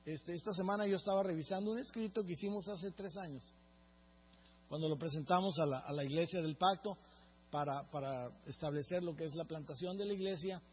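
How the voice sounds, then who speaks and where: A man preaching a sermon, his voice sitting high and a little husky. He keeps turning to the congregation for agreement as he talks. The sound is dull and lo-fi, with long reverb from a big hall. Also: fairly quick. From Mexico. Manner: earnest preaching, often asks the congregation "right?". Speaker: pastor.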